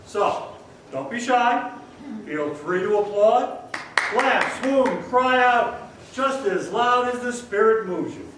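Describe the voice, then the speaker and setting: Guy acting as old-time 1940s radio announcer, high voice, showy and upbeat, way off in an echoey hall. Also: expressive, slow, a bit bright, exaggerated voice. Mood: coaxing, upbeat. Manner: theatrical, showy, addressing the audience. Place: stage of a performing arts hall. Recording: echoey hall from far off, faint noise.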